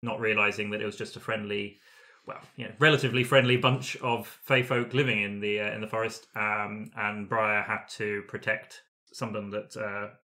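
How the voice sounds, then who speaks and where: A man chatting casually as a role-playing podcast player, recounting in a halting, improvised way. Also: lowish voice, little pitch movement, pushed and bright. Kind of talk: casual panel chat.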